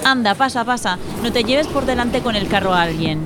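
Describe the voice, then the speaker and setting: A woman playing a bossy middle-aged wife from a comic audio drama, tart but soft on animals, with a Spanish accent from Spain. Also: on the high side, lively intonation, brisk, noisy background, natural voice. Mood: nagging, exasperated. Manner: exasperated, bossy, sing-song.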